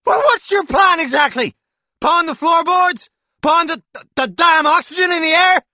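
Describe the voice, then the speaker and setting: A man voicing an exasperated husband who shouts in a sarcastic fury. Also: very high-pitched, animated, moderate pace, dry recording, voice pushed up, exaggerated voice. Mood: furious. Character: furious harried husband, shouting.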